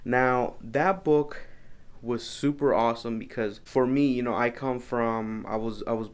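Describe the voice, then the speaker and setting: A man's voice: medium pitch, fairly even intonation, a little slow, clear, resonant tone. A young man from El Salvador, talking earnestly to camera from his home office, often repeating and restarting his phrases. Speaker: business vlogger. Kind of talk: casual vlog talk to camera.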